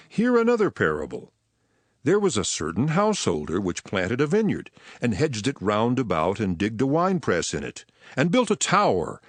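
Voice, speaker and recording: Low-voiced authoritative teacher, solemn emphatic declamation, hugely varied intonation, dramatized scripture, background music. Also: male voice.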